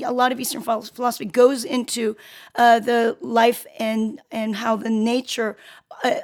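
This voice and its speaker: A woman in her late fifties from Korea hosts a conversational interview. Her voice is notably high for her age. She speaks earnestly and at length, feeling her way through each phrase. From Seoul, South Korea. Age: late 50s. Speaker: show host interviewing a guest.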